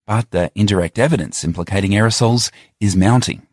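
A man narrating a scripted news report in a measured, read-aloud way. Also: lowish voice, a little mellow, no room sound, studio quality.

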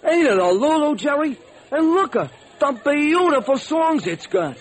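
An actor playing an eager, gullible, good-hearted ex-crook in an old-time radio drama, with folksy, excitable, slangy speech. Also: male voice, extremely high pitch, measured pace, heavily acted. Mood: proud, cheerful. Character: eager, gullible, good-hearted ex-burglar diner owner, male. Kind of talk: old-time radio comic sidekick acting. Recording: slightly noisy old radio recording.

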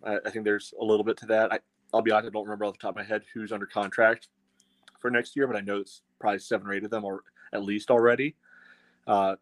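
Low, even-toned male sports podcaster. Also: no room sound. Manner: rambling, peppered with "you know". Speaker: co-host of a soccer fan podcast. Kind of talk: casual sports talk.

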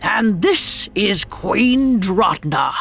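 A woman's voice: mid-range voice, lively intonation, extremely slow, creaky, fairly bright tone, breathy edge, faint music behind, heavily acted. A woman voicing a pompous, portly old goblin king in a grand, commanding storybook manner. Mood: proud, grand. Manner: grand, authoritative, theatrical.

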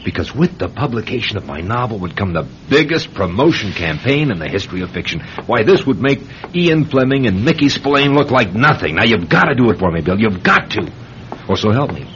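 An actor playing an obsessed, reckless novelist in an old-time radio drama, speaking with insistent, cajoling intensity. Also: male voice, lowish voice, big pitch swings, a little fast, fairly bright tone. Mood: fervent, pleading. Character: obsessed, reckless male novelist chasing a death experience. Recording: dull lo-fi radio recording with hiss.

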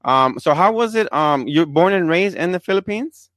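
A man's voice: on the high side, lively intonation, moderate pace, fairly bright tone. Chatty Puerto Rican-born man hosting. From Puerto Rico. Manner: friendly, chatty, with fillers and religious phrases. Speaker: podcast host. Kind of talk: casual interview.

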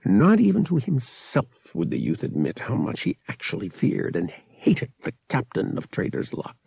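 Male narrator, heavily creaky, breathy rasp, animated pitch, dramatic audiobook reading. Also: moderate pace, no echo.

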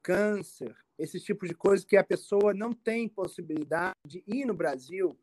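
A man's voice: sits high, darkish tone, dry recording. Brazilian man explaining, close dry sound. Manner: halting, repetitive, checks understanding often. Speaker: immigration expert being interviewed. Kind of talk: informal explanatory interview answers.

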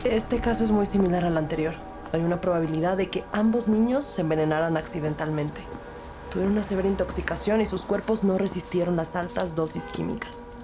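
A woman acting a composed, sympathetic police detective and narrator in an audio crime drama, measured and formal. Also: fairly low pitch, lightly acted. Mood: grave. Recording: music bed behind the voice.

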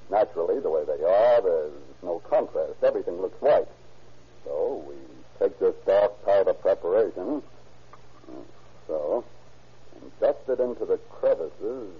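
An actor playing a calm, methodical fingerprint expert explaining his procedure in a radio drama. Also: low voice, unhurried, slightly rough, full character voice. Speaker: scientist. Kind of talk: 1930s radio acting. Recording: dull vintage radio recording.

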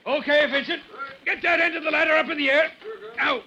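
An American actor playing a brisk, commanding, wisecracking veteran fireman in an old-time radio drama. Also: very high-pitched, fairly even intonation, bright, forceful tone, dull audio, full character voice. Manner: commanding, jocular.

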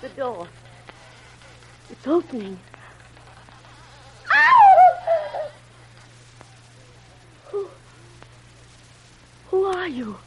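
A woman's voice: very high-pitched, huge pitch swings, very slow, long pauses, heavily acted. A woman playing a frightened but commanding, suspicious captive young woman in melodramatic, stammering 1930s radio style. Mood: terrified. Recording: noisy old radio recording.

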